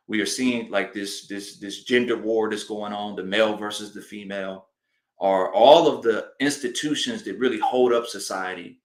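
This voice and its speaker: Echoey male imam giving a deliberate sermon. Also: on the low side. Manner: deliberate, emphatic, repeats phrases for emphasis. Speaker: imam giving a religious address. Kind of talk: sermon, public teaching address. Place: indoor hall of a mosque. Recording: roomy, echoing live address.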